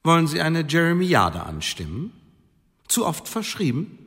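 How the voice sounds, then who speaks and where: An actor playing a stern Elizabethan tribunal official in a German radio drama, formal and officious with a needling edge. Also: male voice, on the high side, huge pitch swings, unhurried, a bit bright, breathy edge, exaggerated voice. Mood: sardonic. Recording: long reverberant hall.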